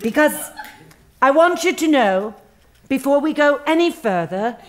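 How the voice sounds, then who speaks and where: An actress playing a relentlessly upbeat, sanctimonious human resources manager in a stage comedy, gushing with earnest, drawn-out emphasis. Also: female voice, high-pitched, expressive. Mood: careful, earnest. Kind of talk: comedic stage play acting. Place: theatre stage before a live audience.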